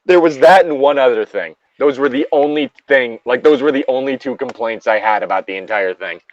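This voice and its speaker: A man's voice: medium pitch, a little fast, no echo, lo-fi sound. Casual sweary man, very dry sound. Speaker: co-host of a group reading. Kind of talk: casual banter and reading aloud.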